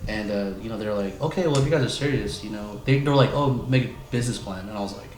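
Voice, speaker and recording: A man talks quickly in a casual, rambling podcast conversation. His speech is slangy with some swearing, and the room adds echo with a faint noise underneath. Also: lowish voice, darkish tone. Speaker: podcast co-host. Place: room used for podcast, indoors.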